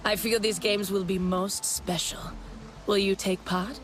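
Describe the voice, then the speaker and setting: A serene, wise alien clanswoman a woman acting who speaks very slowly at a medium pitch. Her game dialogue is solemn and ceremonious, with soft music behind. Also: level tone, lightly acted. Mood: solemn, welcoming. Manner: measured, ceremonious.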